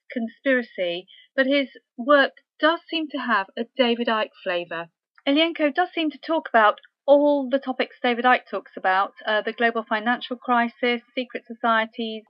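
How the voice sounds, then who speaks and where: A woman talks through web pages she is showing on screen, in a casual and wry way with frequent ums. Her voice sits fairly high for a woman. The recording is dry and close, with a slightly dull, muffled tone. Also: no echo. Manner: chatty, wry, with filler ums. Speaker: researcher presenting a screen tour.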